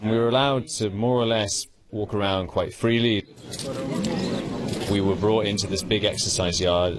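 A man's voice: lowish voice, fairly even intonation, moderate pace, a loud crowd. A man working as a foreign correspondent, telling his field report in a conversational way.

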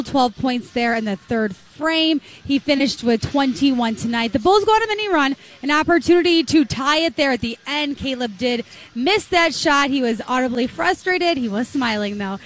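A woman with a slightly raspy voice hosting a courtside postgame basketball recap in an upbeat, conversational way. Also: high voice. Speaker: courtside sports reporter and host. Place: courtside at an arena.

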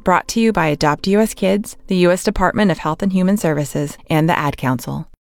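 A woman announcer reads a public service spot in a calm, measured way. Her voice sits on the low side, with soft music underneath. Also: gravelly, no room sound.

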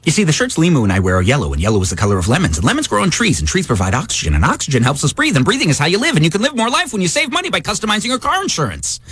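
An actor playing an upbeat insurance spokesman in a comic commercial, explaining in a deadpan-cheery chain of logic. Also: on the high side, rapid-fire, hardly any pauses, a little hiss or hum.

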